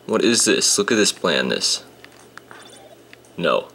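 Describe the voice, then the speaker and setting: A young man commentating a handheld game, casual and grumbling, his voice a bit rough today. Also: deep voice, big pitch swings, slow pace, pushed and bright, a little hiss or hum. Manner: grumbling, sarcastic about the game. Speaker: gameplay commentator. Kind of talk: casual let's-play commentary. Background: game music.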